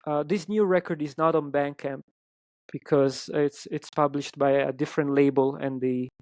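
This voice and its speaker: A man's voice: fairly high pitch, fairly even intonation, moderate pace, darkish tone, no room sound. A man with an Italian accent, a musician guest chatting in an interview, hesitant with many ums and restarts. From Italy. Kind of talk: casual interview chat.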